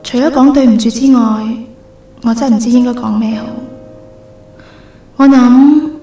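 Woman acting a soft, high-voiced young Hong Kong woman. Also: fairly even intonation, moderate pace, reverberant, faint music behind, natural voice. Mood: wistful confession. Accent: Hong Kong Cantonese. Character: gentle, apologetic young woman hiding her identity. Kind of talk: Cantonese radio drama acting.

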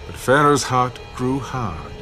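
A man narrating scripture in a scripted dramatic style, solemn and measured. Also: mid-range voice, sing-song. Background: music.

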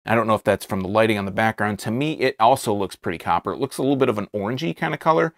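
Casual American man with a creaky voice. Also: fairly low pitch, animated, no room sound, clean studio sound. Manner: halting, repeats and rephrases as he describes. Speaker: beer reviewer, video host. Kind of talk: casual unscripted review to camera. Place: small indoor studio, seated at a table.

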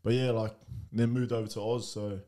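Young kiwi-born guy, low voice, laid back and slangy, telling stories at a desk mic. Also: moderate pace. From New Zealand. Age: young adult. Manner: relaxed, slangy, storytelling with laughs. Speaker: guest rugby league player. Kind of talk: casual interview chat. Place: small home studio. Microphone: studio mic on desk stand with headphones.

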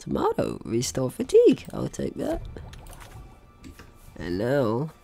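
Man with wildly swinging pitch, gaming commentary. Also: wildly swooping pitch, takes it slowly, gravelly, dark tone, faint music behind. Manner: playful, exclaiming, thinking aloud. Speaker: gaming video host. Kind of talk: casual let's play commentary.

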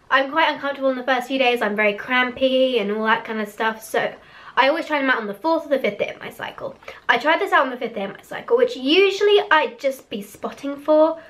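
Teenage girl, hoarse cracking voice, high-ish pitch, casual candid chat, webcam in a small bedroom. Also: a bit bright. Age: teens. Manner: chatty, candid, a little giggly. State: hoarse, voice cracking after a cough. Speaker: vlogger reviewing products. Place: small bedroom with posters on the wall. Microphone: webcam in front of her face.

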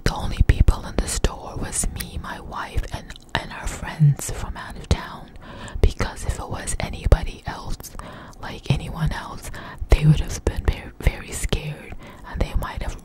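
A woman whispering close to the microphone in a breathy, intimate, conversational way, telling an informal story for ASMR. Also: deep voice, monotone, unhurried, poor audio.

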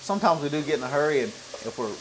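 A man's voice: fairly high pitch, expressive, rapid-fire, poor audio. An American man hosting a casual cooking show interview, asking questions and agreeing along the way. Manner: affirming, asks questions. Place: firehouse kitchen, indoors. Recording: clear kitchen noise, sizzling pan.

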